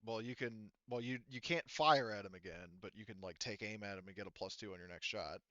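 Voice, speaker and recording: Male game master, lowish bright voice, clean tone, halting rules explanations, slightly dull lo-fi audio. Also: no echo. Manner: halting, thinking aloud while explaining rules. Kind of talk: running an online tabletop roleplaying game.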